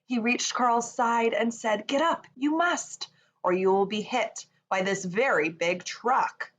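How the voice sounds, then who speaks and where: A woman reading a rhyming children's picture book aloud in an expressive storytelling manner. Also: fairly high pitch, slow pace. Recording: faint background noise.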